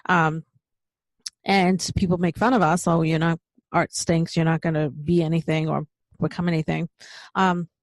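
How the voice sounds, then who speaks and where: A middle-aged woman hosts the conversation, answering with quiet murmurs of agreement. Her voice sits a little low and sounds dry and close. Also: gravelly, dry recording. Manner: affirming, many soft backchannels. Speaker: host. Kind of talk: casual interview conversation.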